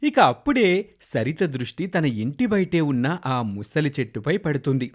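A man's voice: on the high side, big pitch swings, moderate pace. A man narrating a scripted children's moral story.

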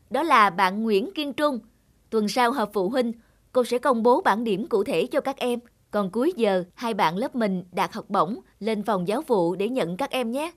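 A woman playing a composed, encouraging school teacher in an animated moral tale, announcing things to a class. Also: on the high side, normal speed. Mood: pleased, announcing.

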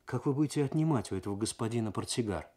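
A man voicing an excitable, curious young woman with a light, wondering manner. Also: medium pitch, rapid-fire, lightly acted. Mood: nervous excitement.

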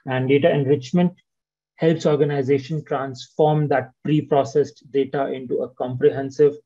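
A man giving a product presentation on a video call, often pausing with "uh" and ending points with "right?". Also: medium pitch, warm tone, dull audio. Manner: frequent "uh" and "right?" tags. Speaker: senior product manager presenting.

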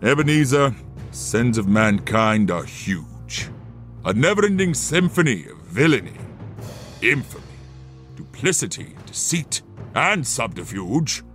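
A man's voice: medium pitch, sing-song, measured pace, fairly bright tone, soft background music. A man voicing a stern, solemn Christmas ghost in an audio storybook, with a grave, theatrical delivery.

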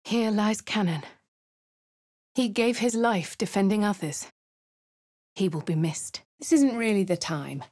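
An actress voicing a posh, wry young adventurer woman with an English accent, reading game lines and narration in a crisp, well-spoken way. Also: mid-range voice, expressive, measured pace, long pauses, a little mellow, no room sound, studio quality. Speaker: player character voice. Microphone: studio mic, dry.

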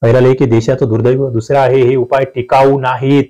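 Maharashtrian male coaching teacher, mellow low-brightness voice. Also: level tone, fairly quick, muffled recording. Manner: instructive, addresses students directly, repeats key phrases. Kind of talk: exam question walkthrough lesson.

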